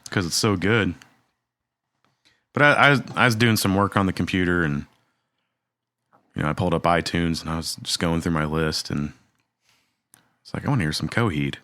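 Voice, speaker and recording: A man's voice: sits low, normal speed, pauses often, bright, forceful tone, dry recording. A man co-hosting a podcast, chatting casually and jokingly, with rambling stories. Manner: laid-back, rambling, joking. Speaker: podcast co-host. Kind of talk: casual chat.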